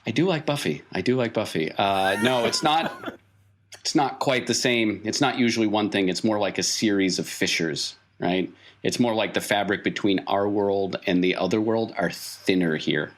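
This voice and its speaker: A man playing a weary, cryptic circus clown who explains things gravely in a tabletop game. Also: fairly low pitch, normal speed, close to an everyday voice. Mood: explaining.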